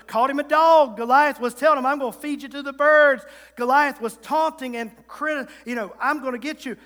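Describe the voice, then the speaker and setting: A man in his thirties delivers a sermon with a notably high, bright voice, driving home points through repetition and quick asides to his listeners. His words ring out with the long reverb of a large hall. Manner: emphatic, repetitive preaching with call-and-response asides. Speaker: preacher.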